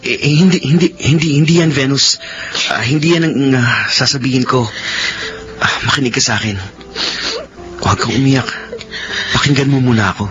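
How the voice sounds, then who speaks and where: An actor playing an earnest, devoted young man in a radio drama, speaking haltingly and politely. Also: medium pitch, close to an everyday voice. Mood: anxious, soothing. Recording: dull sound, music underneath.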